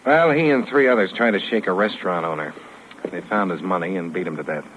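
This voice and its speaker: An actor playing a weary, matter-of-fact American police sergeant in a clipped 1950s radio crime drama style. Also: male voice, low voice, fairly quick, lightly acted. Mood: grim, matter-of-fact. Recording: muffled, noisy old radio recording.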